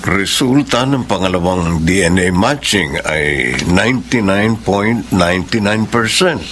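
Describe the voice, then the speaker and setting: A man playing a grave, authoritative doctor in a Filipino radio soap, with deliberate suspenseful pauses and a Filipino accent. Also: sits low, expressive, fairly bright tone, slight background noise. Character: grave, authoritative male doctor, sure of himself.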